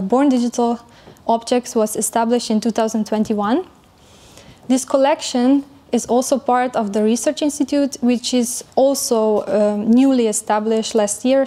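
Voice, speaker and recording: A woman giving an academic conference presentation in English, speaking carefully with frequent pauses and fillers. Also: a little high, mellow. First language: not German.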